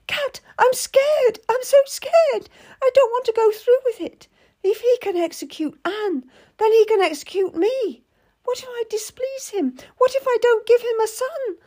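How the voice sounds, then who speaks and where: A woman's voice: very high-pitched, steady tone, a little fast, hardly any pauses, a little mellow, voice pushed up. A woman voicing a frightened, naive young noblewoman who pleads on the edge of tears. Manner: trembling, pleading, near tears.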